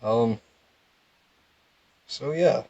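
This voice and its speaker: A young man with a calm, low voice, giving casual, rambling game commentary in a laid-back monotone. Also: extremely slow, dry recording, dull audio. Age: young adult. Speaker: gaming video host.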